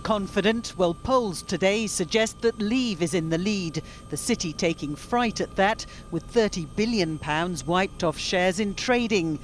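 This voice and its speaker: A political correspondent narrating a news report briskly and wryly. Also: male voice, sits high, slight background noise.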